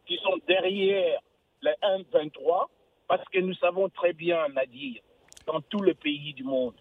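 A man's voice: high-pitched, unhurried, gravelly, no echo. Impassioned man calling in by phone. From Democratic Republic of the Congo. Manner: impassioned, emphatic, swooping intonation. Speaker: caller. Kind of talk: call-in radio opinion. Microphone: phone line. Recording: tinny phone line.

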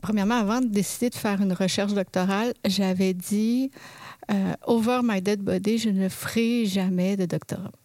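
Québécoise woman, professor and occupational therapist, lively anecdotal telling, dry close sound. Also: medium pitch, normal speed, no echo. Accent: Québécois French. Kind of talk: interview storytelling.

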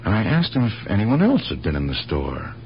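A man's voice: on the low side, huge pitch swings, brisk. A man playing an earnest, persistent police detective in a radio drama, probing and hesitant as he thinks aloud. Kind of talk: naturalistic radio drama acting. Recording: dull, hissy 1970s radio broadcast.

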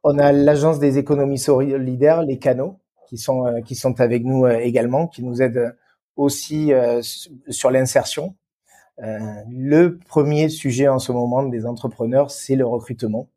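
A mellow-voiced man with a regional French accent, answering as a guest on a business podcast, circling back over his phrases as he searches for words. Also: medium pitch, steady tone, moderate pace. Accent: French, non-Lyon regional. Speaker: interview guest, event venue professional. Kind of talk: conversational explanation in an interview.

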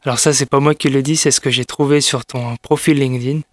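A man's voice: mid-range voice, steady tone, fast talker, no room sound, studio quality. A man hosting an interview podcast, asking friendly, informal questions and summing up what his guest says. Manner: friendly, informal, sums up and asks follow-ups. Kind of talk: interview conversation.

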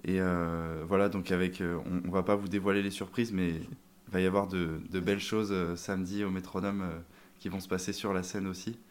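A man's voice: low-pitched, little pitch movement, brisk. A young man, a band member, talking in an interview with many fillers and restarts. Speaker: band member, sousaphone player. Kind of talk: interview conversation.

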